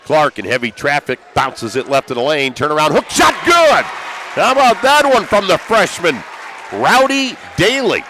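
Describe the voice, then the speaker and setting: A man's voice: a little high, big pitch swings, normal speed, pushed and bright. A man calling live basketball play-by-play as a sports broadcaster. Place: high school basketball gym. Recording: crowd and gym noise behind. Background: gymnasium crowd, bouncing basketball.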